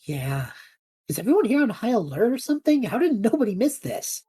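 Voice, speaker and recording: A woman playing a restless, idealistic young male traveler with a husky voice in an audio drama, casual and earnest. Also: fairly high pitch, very animated, a little fast, mellow, a little hiss or hum. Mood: pensive. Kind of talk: scripted audio drama acting.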